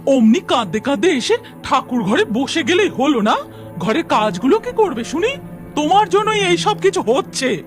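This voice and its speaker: High, swoopy, scolding old mother-in-law in a cartoon, music going loud behind her. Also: very animated, moderate pace. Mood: blaming. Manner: nagging and blaming. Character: scolding, spiteful older village mother-in-law. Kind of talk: cartoon voice acting.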